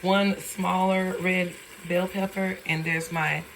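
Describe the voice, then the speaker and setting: A hoarse woman who has lost her voice, casually and tiredly talking through a recipe in her home kitchen. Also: level tone, slow pace, a little hiss or hum, poor audio. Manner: tired, low-key, chatty with fillers. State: hoarse, lost voice from sinus infection. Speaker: home cook vlogger. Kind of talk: casual cooking demonstration. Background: sizzling and frying in a pan.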